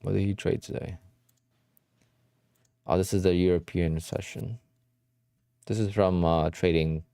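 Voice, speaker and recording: A man from New Jersey talks through his livestream in a loose, rambling way. His voice sits very low with a dark, mellow tone, and the recording is close and dry with no room sound. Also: fairly even intonation, measured pace, creaky, clean tone, no room sound. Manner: rambling, conversational, answers chat questions. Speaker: day trading livestream host. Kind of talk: casual livestream chat.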